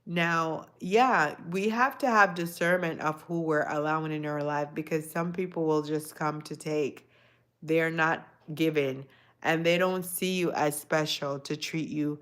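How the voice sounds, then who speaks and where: A woman with a notably low voice hosts a casual livestream, speaking reflectively to her audience. She greets chatters by name and often repeats a phrase for emphasis. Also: unhurried, a bit bright. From Jamaican descent, lives in the US. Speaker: livestream host. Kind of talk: casual live chat with viewers.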